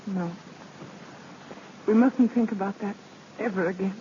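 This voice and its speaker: A woman's voice: mid-range voice, fairly even intonation, measured pace, mellow, natural voice. An actress playing an anxious, refined married New York society woman in an old radio drama. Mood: hesitant, tender. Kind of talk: 1930s-40s radio drama acting. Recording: muffled old radio broadcast, audience noise.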